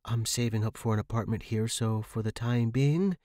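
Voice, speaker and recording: A man voicing a polite, nervous young woman in an audio story, soft-spoken and a little hesitant. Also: mid-range voice, moderate pace, no room sound, studio quality, lightly acted. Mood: matter-of-fact.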